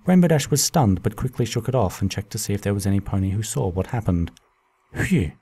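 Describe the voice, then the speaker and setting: A man's voice: lowish voice, very animated, brisk, mellow, husky. A man giving scripted, comedic storybook narration for a radio play.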